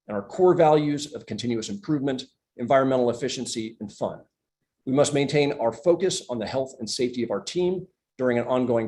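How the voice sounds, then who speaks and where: A man's voice: medium pitch, warm tone. A man speaking as a company executive on an earnings call, reading a prepared presentation with upbeat, promotional emphasis. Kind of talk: scripted corporate presentation.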